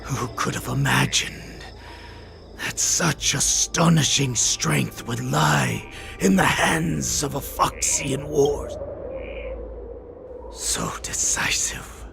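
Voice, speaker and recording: A man's voice: medium pitch, big pitch swings, takes it slowly, heavily acted. A man voicing a savage, defiant wolfish beast warrior in a video game, with a growling, menacing and dramatic delivery. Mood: grim awe. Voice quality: gravelly growl. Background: game music.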